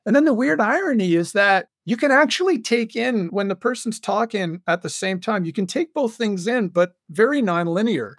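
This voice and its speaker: A man with a high-pitched voice and a very dry, close sound speaks as an interview guest. He talks casually and in an animated way, breaking off and restarting as he tells his stories. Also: normal speed, hardly any pauses, dry recording. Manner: animated, self-interrupting storyteller who acts out quoted dialogue. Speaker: guest, audio drama creator and writer.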